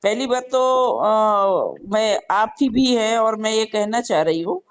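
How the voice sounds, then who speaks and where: A woman's voice: a little high, lively intonation, slow pace, clean tone. Earnest woman customer on a call. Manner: earnest, polite, speaks up for other customers. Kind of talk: phone conversation.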